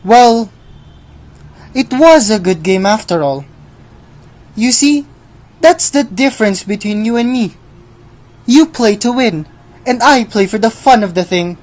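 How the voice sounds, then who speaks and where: A woman playing Death, a sardonic, playful, menacing male personification, in a radio drama with a slow, mocking delivery. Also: a little high, lively intonation, darkish tone. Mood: wry, amused.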